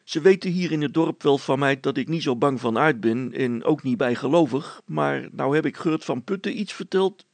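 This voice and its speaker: Storybook poacher, played by a male reader: mischievous, chatty country fellow, raised husky voice. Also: mid-range voice, moderate pace, few pauses, dry recording, pitched above the performer's own voice. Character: sly, cheerful village poacher, not easily scared. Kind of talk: acted storybook dialogue.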